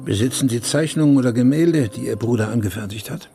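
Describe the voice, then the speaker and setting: Man playing a no-nonsense cop asking questions, gravelly, radio play, music underneath. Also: mid-range voice, quick pace, warm tone, lightly acted. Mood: probing. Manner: terse, businesslike questioning. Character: matter-of-fact, probing male police sergeant. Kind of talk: German radio drama acting.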